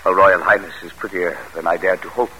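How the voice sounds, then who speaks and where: An actor in a classic radio drama playing an armed sentry, formal and deferential. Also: male voice, sits low, fairly even intonation, a little fast, close to an everyday voice. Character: deferential armed sentry serving a would-be emperor. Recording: noisy, crackly old radio recording.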